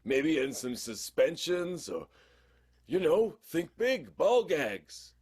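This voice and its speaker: An actor playing a saucy, aging vaudeville contortionist in an improvised comic radio play, gravelly and husky, with folksy, drawn-out phrasing. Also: male voice, on the high side, big pitch swings, a little mellow, heavily acted. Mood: bawdy, nostalgic.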